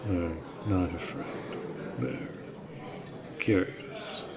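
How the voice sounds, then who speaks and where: A protective orc general , performed by a man, speaks in a lowish male voice at a very slow, measured pace. He is courteous and earnest, with some dry teasing, in a fantasy audio roleplay. A crowd murmurs clearly behind him. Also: steady tone. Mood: wry, teasing.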